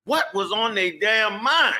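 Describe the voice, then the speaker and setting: A man's voice: sits high, expressive, unhurried, bright, forceful tone. A man from the Bronx, ranting emphatically as co-host in a casual commentary chat. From the Bronx, New York. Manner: heated, emphatic, preachy.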